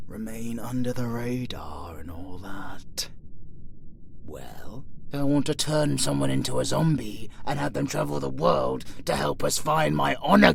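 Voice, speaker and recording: A British man playing a gleefully evil, flirty undead necromancer in an audio roleplay, theatrical and foul-mouthed, swinging between sly whispers and shrill shrieks. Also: gravelly, a little hiss or hum, full character voice. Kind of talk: audio roleplay acting spoken to the listener.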